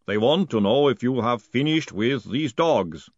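Golem servant played by a male reader: huge, patient, literal, measured and very deliberate delivery, dry close recording, slightly dull. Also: medium pitch, lively intonation, no room sound. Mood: patient, formal. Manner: slow, deliberate, formal. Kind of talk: audiobook character voice.

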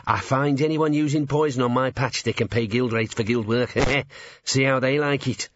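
A man voicing a tiny, cocky, belligerent gnome rat-catcher in an audiobook, with rough, slangy, combative speech. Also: medium pitch, fairly even intonation, moderate pace, muffled recording, slight background noise, natural voice. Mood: boastful, insistent. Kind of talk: character voice in audiobook narration.

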